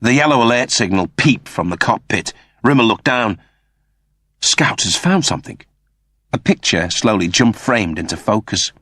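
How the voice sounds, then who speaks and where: A man's voice: lowish voice, normal speed, hardly any pauses, a bit bright, no room sound, studio quality. A man reading a story as a narrator, in a dramatic scripted style. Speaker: audiobook narrator.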